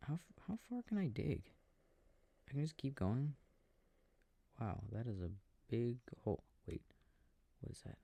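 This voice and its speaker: A man speaking softly and breathily, often near a whisper, quietly musing to himself in an ASMR sleep-aid style while playing a video game. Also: medium pitch, very animated, a little slow, creaky, long pauses, no room sound. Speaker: audio roleplay performer. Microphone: close microphone. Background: game controller clicking.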